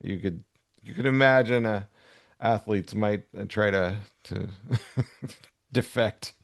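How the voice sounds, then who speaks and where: A man with a fairly low voice talks slowly in a casual co-host chat. He thinks aloud in hesitant, short phrases, recorded dry with no room sound. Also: gravelly, no echo. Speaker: podcast co-host. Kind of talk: casual chat, reacting to comics.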